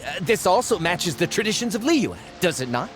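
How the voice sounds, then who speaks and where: A man's voice: high voice, sing-song, brisk, a bit bright. A man voicing a greedy, pompous male archaeologist who blusters and gets defensive. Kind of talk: game voice acting. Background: game music.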